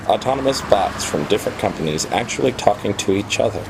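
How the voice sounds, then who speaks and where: An actor playing an obsessive, wistful programmer in love, in a radio play. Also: male voice, on the low side, lively intonation, fast talker, creaky, noisy background. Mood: wistful, brooding.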